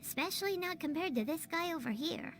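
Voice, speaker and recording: A woman voicing a tiny, chirpy, childlike fairy girl companion in a video game, squeaky and blunt. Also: animated, fairly quick, a bit bright. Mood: chatty. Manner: refers to self in third person, blunt. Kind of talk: video game character acting.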